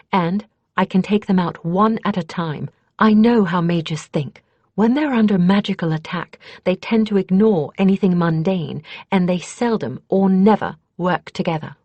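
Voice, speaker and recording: A woman's voice: medium pitch, darkish tone, dry recording, voice pushed up, close to an everyday voice. A woman voicing a confident, fiercely determined female mage in an audiobook, explaining things earnestly and emphatically. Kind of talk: audiobook character dialogue.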